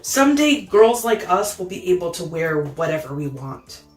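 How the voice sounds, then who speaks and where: A woman's voice: fairly low pitch, sing-song, moderate pace, reverberant, faint music behind. A woman voicing a hopeful, determined young transgender woman activist in a storybook, earnest and rousing. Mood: earnest resolve.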